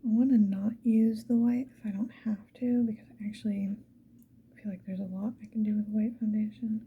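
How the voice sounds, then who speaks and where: Woman, ASMR whisper, casual unhurried chat, very mellow tone, muffled lo-fi sound, faint hiss. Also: mid-range voice, fairly even intonation. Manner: soft whispering, unhurried musing to the viewer. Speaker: ASMR video creator.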